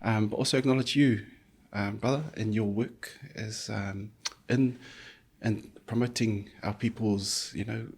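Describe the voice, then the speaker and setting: A middle-aged man from New Zealand, a physiotherapist guest speaking thoughtfully in an interview, with many pauses and restarts. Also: lowish voice, steady tone, a little mellow, breathy edge. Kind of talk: reflective conversational interview.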